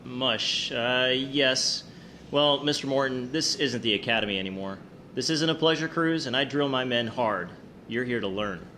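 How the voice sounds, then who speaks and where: An actor playing a stern, formal submarine captain who speaks curtly in a wartime naval drama. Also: male voice, medium pitch, normal speed, sharp tone, close to an everyday voice. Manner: curt, formal, commanding. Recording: faint background noise.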